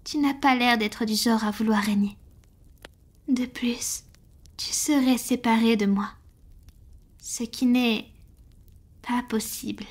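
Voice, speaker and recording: A woman playing a tender, devoted female vampire in an intimate roleplay audio, speaking softly and affectionately, often in a breathy whisper. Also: on the high side. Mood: tender, generous. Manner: slow, gentle, affectionate, with pauses. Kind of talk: French roleplay audio, soft intimate ASMR acting.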